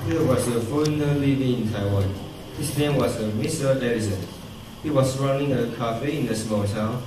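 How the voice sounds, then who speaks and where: A man's voice: medium pitch, moderate pace, background noise, close to an everyday voice. A man narrating an amateur radio drama as its storyteller. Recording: echoey hall from far off, music behind.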